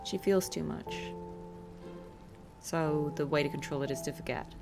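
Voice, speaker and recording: A woman's voice: low-pitched, a little slow, gravelly, music in the background. A woman game streamer giving casual, reflective livestream commentary, thinking aloud as she plays.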